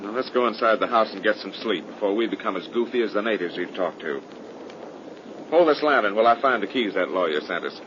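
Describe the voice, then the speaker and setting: Actor as a commanding sceptic, noisy old radio horror. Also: male voice, fairly low pitch, lively intonation, fairly quick, lightly acted. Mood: weary, dismissive. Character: sceptical, commanding young man exploring a haunted house. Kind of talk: 1930s radio horror acting. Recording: muffled, noisy 1930s radio recording.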